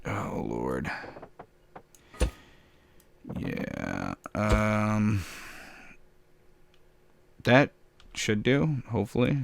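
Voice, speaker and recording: A man casually commenting while building in a game, with a slightly raspy voice, rambling and poking fun at himself. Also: lowish voice. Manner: rambling, self-mocking, chuckles often. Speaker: gameplay commentator.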